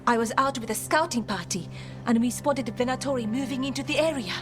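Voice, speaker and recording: An earnest forest scout woman , played by an actress in a fantasy game. Her high voice carries concern and urgency. Music runs clearly underneath. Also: quick pace, natural voice. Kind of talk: fantasy video game acting.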